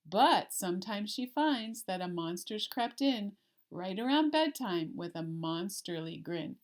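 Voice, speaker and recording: A woman reading a children's picture book aloud like a teacher, with deliberate, expressive storytelling and emphasis on the rhymes. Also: mid-range voice. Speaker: elementary art teacher.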